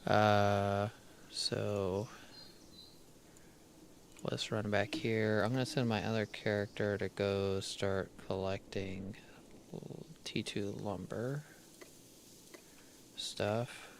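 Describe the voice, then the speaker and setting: Slow, gravelly-voiced man streaming a game. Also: on the low side, flat delivery. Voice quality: crackly voice. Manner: thinking aloud while playing, half-muttering plans to himself. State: dry throat. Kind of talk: casual live gameplay commentary.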